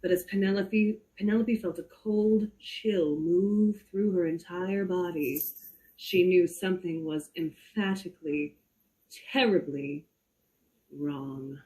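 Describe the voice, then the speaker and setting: A woman reads a horror story aloud theatrically, drawing out suspense with deliberate pauses. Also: fairly low pitch, mellow, poor audio. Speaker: performer reading a story aloud. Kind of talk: slow dramatic reading of a horror story.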